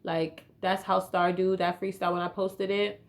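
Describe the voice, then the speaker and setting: A woman's voice: on the low side, little pitch movement, moderate pace. A young woman from North Carolina, a guest rapper chatting casually in slang with lots of "you feel me". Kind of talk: casual interview chat.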